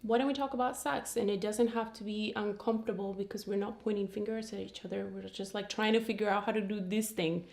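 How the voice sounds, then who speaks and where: A woman's voice: mid-range voice, fairly even intonation, quick pace, gravelly, few pauses, darkish tone, roomy sound. A woman from Colombia, a guest on a talk show, chatting candidly with many fillers and restarts and frequent giggles. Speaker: guest sex blogger. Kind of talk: casual talk show chat. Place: studio set at a table.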